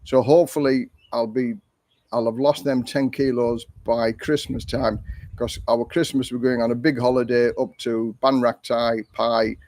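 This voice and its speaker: An older man, hosting a casual live stream and answering viewer questions in a rambling, chatty way, with a voice he calls monotone. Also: medium pitch, moderate pace, dry recording. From England. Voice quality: self-described monotone voice. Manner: rambling, chatty, with frequent ums and restarts. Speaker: livestream host.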